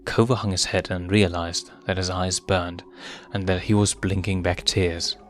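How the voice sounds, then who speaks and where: A man's voice: deep voice, steady tone, normal speed. A man reading fan fiction aloud as dramatic scripted story narration. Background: music.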